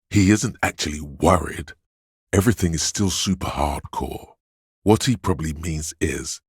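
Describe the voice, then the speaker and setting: A man playing a seductive inner voice of addiction in a video game, narrating dramatically in a gravelly, savoring, coaxing way. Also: no echo, studio quality, heavily acted. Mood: coaxing, excited. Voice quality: gravelly, husky bass.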